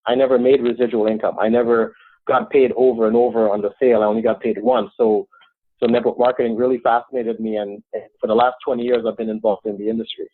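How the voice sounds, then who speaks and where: A middle-aged Trinidadian man talks eagerly and earnestly as an interview guest. His voice sits at an ordinary pitch for a man. The sound is thin and phone-like, with no room around it. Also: level tone, fast, dry recording. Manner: earnest, enthusiastic. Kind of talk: interview answers. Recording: dry, tinny phone-like line.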